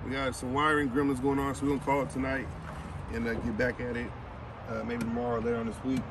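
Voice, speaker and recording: A man's voice: medium pitch, level tone, fast talker. A man hosting a car restoration video, chatting casually and slangily while he works outdoors. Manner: informal, slangy. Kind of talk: casual chat while working. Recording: loud outdoor car yard noise.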